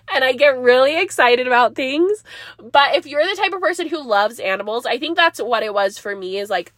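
A woman's voice: mid-range voice, a bit bright, no echo, studio quality. A young woman hosting a casual solo podcast, rambling and chatty with frequent laughs, her nose stuffed up, talking from inside her car. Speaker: podcast host. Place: parked car.

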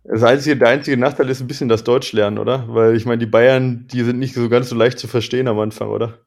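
A German man hosting a casual interview, chatty and asking leading questions that end in a quick check for agreement. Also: medium pitch, fairly even intonation, vocal fry, darkish tone. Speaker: interviewer, podcast host.

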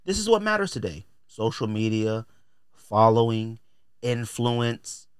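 A man's voice: mid-range voice, big pitch swings, a little slow, smooth, no room sound. A man hosting a podcast in a casual, opinionated rant, emphatic and exasperated, repeating phrases to drive points home. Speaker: podcast host.

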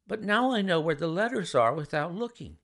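An earnest young woman, flustered and chatty, her words tumbling out eagerly in a raised, lively voice with a gravelly edge underneath, as a male narrator puts her on. It is an audiobook. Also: a little high, fairly quick, pitched above the performer's own voice. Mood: startled.

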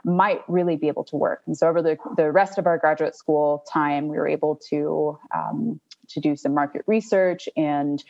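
Woman, young adult, co-founder, measured, explanatory, low pitch, lo-fi audio. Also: steady tone, vocal fry, darkish tone. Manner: measured, explanatory, with small restarts. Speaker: startup co-founder. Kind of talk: interview answers.

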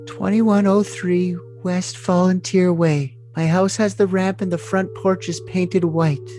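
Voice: mid-range voice, little pitch movement, slow, dark tone, faint music behind, lightly acted. A performer voicing a sweet, submissive young woman in love, in an overly dramatic, gushing reading of messages. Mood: adoring.

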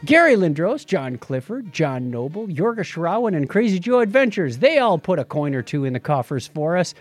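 A man's voice: a little high, very animated, brisk, soft background music. A man co-hosting a podcast in a playful, informal way, moving between casual chat and read-out items. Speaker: podcast co-host.

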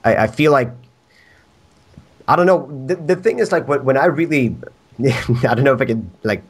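A man's voice: mid-range voice, lively intonation, normal speed, husky. A man from Sweden with a Swedish accent, a podcast guest chatting casually, rambling, laughing often and swearing.

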